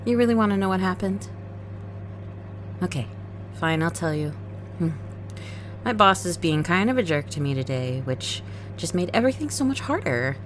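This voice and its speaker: A woman's voice: lowish voice, expressive, normal speed, long pauses, noisy background, close to an everyday voice. A woman playing a doting werewolf girlfriend in a comedic ASMR roleplay, gushing in baby talk with pet names. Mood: tender, weary. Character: doting, sugary werewolf girlfriend home tired from work.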